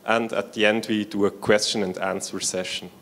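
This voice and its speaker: A man's voice: fairly low pitch, little pitch movement, moderate pace, a bit bright. A man from Switzerland presenting at a conference in a large hall, speaking plainly and a little hesitantly into a handheld microphone. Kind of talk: conference talk. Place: large hall with seated audience. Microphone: handheld mic.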